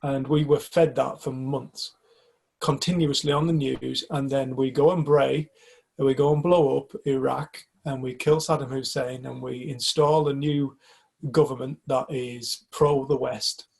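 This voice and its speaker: A man's voice: mid-range voice, fairly even intonation, measured pace, gravelly, a little mellow, husky, low-quality recording. A man from the UK in a casual group discussion, talking reflectively and hesitantly, often restarting his sentences. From United Kingdom. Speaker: community group member.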